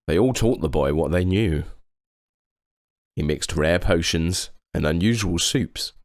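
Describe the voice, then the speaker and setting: A man reading a children's story aloud with expressive narration. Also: low voice, measured pace, no room sound, studio quality. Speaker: storybook reader.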